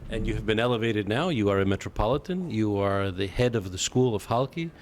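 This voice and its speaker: A man hosting a conversational interview, speaking deliberately and emphatically in short phrases, often repeating himself. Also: fairly low pitch, animated, a little hiss or hum. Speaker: interviewer, TV host.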